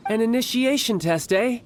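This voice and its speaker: A man's voice: very high-pitched, noisy background, heavily acted. An actor playing a playful young male agent who poses as a toy seller in a video game, breezy, teasing and polite.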